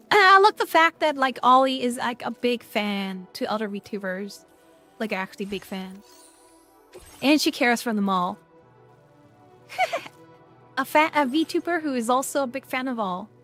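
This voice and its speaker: Gushing streamer woman, slightly high voice. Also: lively intonation, a little slow, pauses often, sharp tone, faint music behind. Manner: rambling and gushing, lots of fillers. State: voice getting worn from yelling. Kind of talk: casual stream chat.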